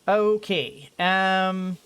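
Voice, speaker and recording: Streamer rambling through a game, kinda slow, mid pitch, bit of noise and clicking. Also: steady tone, a bit bright. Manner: rambling, thinking aloud. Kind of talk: casual gameplay commentary.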